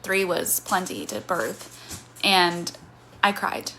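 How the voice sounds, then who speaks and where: A woman streamer in her thirties with a slight Missouri accent talks in a loose, rambling way and stops to answer comments from her chat. Her tone is bright and forward. A faint hum sits under her voice. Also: lowish voice, lively intonation, slow, rough recording. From Missouri, USA. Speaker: livestreamer. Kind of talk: casual chat with live chat.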